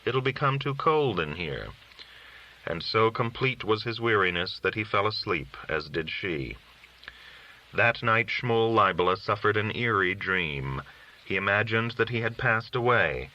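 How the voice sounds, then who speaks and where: A man narrating a literary story in a measured, solemn reading style. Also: on the low side, bright, forceful tone, highs cut off. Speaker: audiobook reader.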